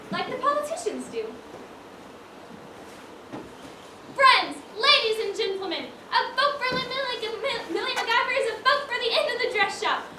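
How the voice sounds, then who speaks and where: A woman on stage playing a prim, wistful widowed dress-shop owner, full of old-fashioned exclamations. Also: very high-pitched. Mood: grandly oratorical. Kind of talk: amateur stage acting, one-act school play. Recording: roomy stage, distant mic, clear noise.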